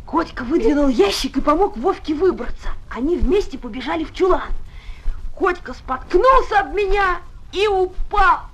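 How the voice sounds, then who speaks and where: A woman's voice: very high voice, expressive, normal speed, fairly bright tone. An actress playing a small mischievous boy who tells his own story expressively, in children's radio play style. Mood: amused storytelling. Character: small boy narrating his hide-and-seek game, mischievous. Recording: noisy old 1970s flexi-disc record.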